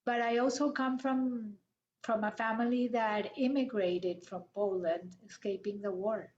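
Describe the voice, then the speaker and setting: Woman host, middle-aged, unhurried and measured, dull roomy webcam sound. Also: on the high side, steady tone, a little mellow. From Colombia. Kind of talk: video call interview. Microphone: video call webcam. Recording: echoey, dull webcam audio.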